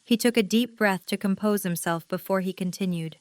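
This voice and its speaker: A woman's voice: medium pitch, brisk. A woman narrating a story, reading scripted third-person prose as the narrator of an audio drama.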